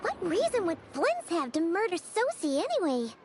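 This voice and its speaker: A tiny fairy companion from a video game, with an extremely high, childlike voice. She is excitable and curious, and her pitch jumps around in animated exclamations. Faint ambience sits behind her. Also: moderate pace, a little hiss or hum, heavily acted. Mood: eager agreement. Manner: exclamatory, speaks of self in third person.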